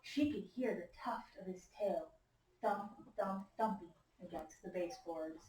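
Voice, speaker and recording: A woman teacher reading a picture book aloud to young children, patiently prompting them with questions and gentle instructions. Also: on the low side, measured pace, slight background noise. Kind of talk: interactive picture-book read-aloud to a class. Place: echoey indoor room with young children. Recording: echoey room, distant microphone.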